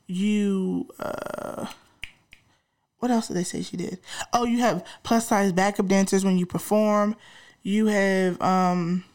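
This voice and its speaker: A woman's voice: medium pitch, steady tone, takes it slowly, a little mellow. Congested chatty woman podcast host. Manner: chatty, slangy, addresses listeners directly. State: congested, slightly nasal from a cold. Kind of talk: casual podcast chat.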